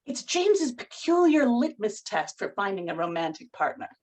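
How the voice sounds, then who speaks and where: Woman acting a poised romantic, husky lively voice. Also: medium pitch, moderate pace. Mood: bright, composed. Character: poised, romantic woman professional, patient but pointed. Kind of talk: screenplay table read.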